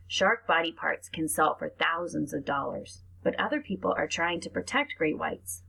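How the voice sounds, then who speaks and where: A woman's voice: low-pitched, vocal fry, fairly bright tone, breathy edge, poor audio. A woman reading aloud to young children like a teacher, explaining things and adding asides as she goes.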